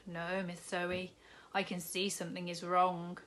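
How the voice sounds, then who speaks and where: Woman putting on a big jolly shopkeeper guy, fatherly and coaxing, storybook read slow. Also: fairly low pitch, flat delivery, a bit bright, a little hiss or hum.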